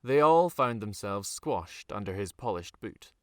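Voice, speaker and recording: A man narrates a fantasy novel in a fairly low voice. His delivery is scripted and measured, with dramatic phrasing and deliberate pauses. The recording is dry, with hardly any room around the voice. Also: animated, a bit bright, dry recording. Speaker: author reading his own novel.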